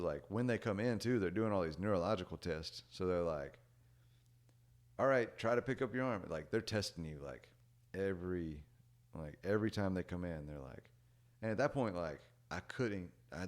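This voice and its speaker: A young man chatting casually as a podcast guest, rambling with lots of "like" and "dude" and letting his sentences trail off quietly. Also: low voice. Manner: rambling, many "like" and "dude" fillers; relaxed, trails off quietly at ends of thoughts. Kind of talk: casual storytelling chat.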